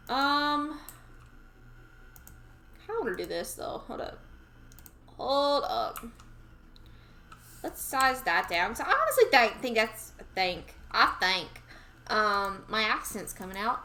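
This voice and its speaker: Bright, lively Southern woman. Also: a little high. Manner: rambling self-talk, easily amused. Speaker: streamer. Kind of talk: casual gameplay chatter.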